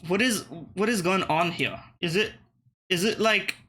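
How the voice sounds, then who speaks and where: A man's voice: sits high, measured pace, background noise. A young man casually thinking aloud and swearing while playing geography web games. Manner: thinking aloud, profane, muttering and restarting. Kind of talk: casual gameplay commentary.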